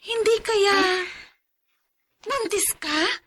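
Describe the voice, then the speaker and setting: An actress playing an inquisitive, excitable visiting friend in a radio drama. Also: female voice, very high voice, drawn-out words, heavy background noise, exaggerated voice. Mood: excited.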